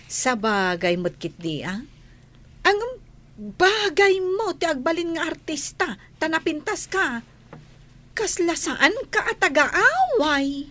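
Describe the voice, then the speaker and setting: Woman acting a high-pitched, gossipy housemaid. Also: sing-song, takes it slowly, pauses often, bright, forceful tone, heavily acted. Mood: friendly, teasing. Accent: Filipino. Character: chatty, gossipy older-sister housemaid. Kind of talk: radio comedy drama acting.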